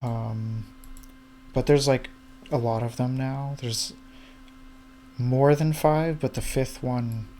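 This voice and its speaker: A man's voice: mid-range voice, fairly even intonation, slow pace, pauses often, warm tone, slight background noise. A man of about thirty chatting casually, hesitant, often trailing off with fillers. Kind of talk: casual chat.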